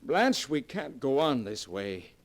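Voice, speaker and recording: An actor playing a troubled, exasperated suburban husband in a melodramatic 1940s radio drama style. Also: male voice, mid-range voice, very animated, takes it slowly. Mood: bitter, fed up. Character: troubled suburban husband, smothered by his wife's love. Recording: old 1940s radio broadcast recording.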